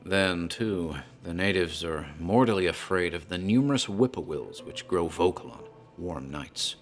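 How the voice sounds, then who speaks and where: A man playing a talkative country tavern landlord in a horror audio drama, deliberate, teasing and deferential in his storytelling. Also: deep voice, expressive. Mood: grave, ominous. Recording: music under the voice.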